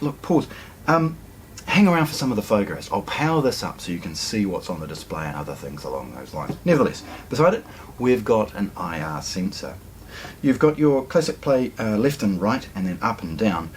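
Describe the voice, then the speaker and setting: A middle-aged New man with a low voice that swings up and down a lot as he walks through a product unboxing. He sounds chatty and keen, dotting his talk with ums and false starts. He speaks indoors in a hi-fi store, with a faint hiss of noise behind him. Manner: chatty, enthusiastic, frequent ums and restarts. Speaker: hi-fi store presenter. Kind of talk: casual product unboxing walkthrough.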